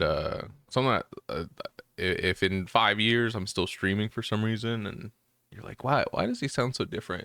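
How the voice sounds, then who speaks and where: A man's voice: low-pitched, gravelly, no room sound. A male streamer giving casual, rambling gameplay commentary with a nasal, congested voice, as if he has just woken up. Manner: rambling, relaxed, many fillers.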